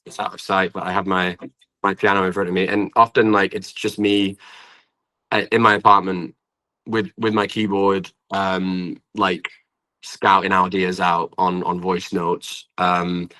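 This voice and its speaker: A man's voice: deep voice, level tone, a little slow, sharp tone, low-quality recording. A young British man chatting casually as an interview guest, rambling informally and peppering his talk with "like". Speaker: guest singer-songwriter. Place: at home in an apartment.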